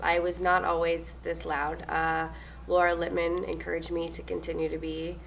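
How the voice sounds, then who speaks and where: A young adult woman from New Zealand, a stage manager answering interview questions in a loud, upbeat way, often laughing. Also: fairly low pitch, monotone, normal speed, creaky, slight background noise.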